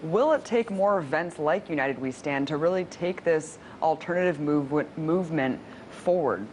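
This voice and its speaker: Young woman host, upbeat, asks pointed questions, faint rumble. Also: normal speed, darkish tone. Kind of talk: TV interview.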